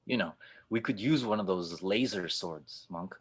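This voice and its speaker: A man playing a gruff, skeptical, protective pilot with a husky voice in an audio drama read. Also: low-pitched, a little slow, noisy background. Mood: dry, grumbling. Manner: dry, doubting.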